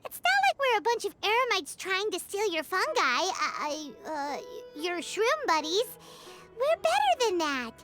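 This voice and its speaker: Childlike fairy mascot, very high-pitched, animated, squeaky, chatty, video game, prominent background music. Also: female voice, unhurried, heavily acted. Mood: encouraging. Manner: perky, refers to self in third person. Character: small excitable childlike fairy companion, cheerful, chatty.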